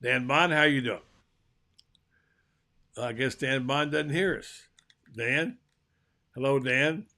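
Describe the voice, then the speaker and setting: An older man hosts a live talk show, chatting in a folksy, easygoing way. His voice is gravelly and bright, and he calls out loudly to guests who can't hear him. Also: medium pitch, slow, long pauses. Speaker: host. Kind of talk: casual live show chat.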